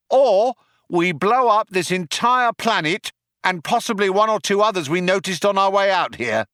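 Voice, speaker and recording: Space cop for comic sci-fi storybook, performed by a male narrator: high, forceful shouting, aggrieved, earnest, self-justifying menace. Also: no echo, studio quality, full character voice. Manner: earnest, self-justifying threats bellowed at a distance.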